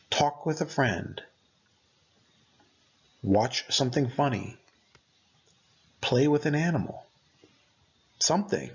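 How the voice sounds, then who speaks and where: A man narrating a guided meditation as its guide, speaking in gentle, deliberate short phrases. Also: on the high side, vocal fry, highs cut off.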